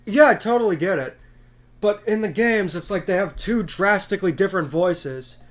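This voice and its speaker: A man chatting casually while gaming, giving opinions freely and firing off quick questions. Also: high-pitched, lively intonation, faint music behind.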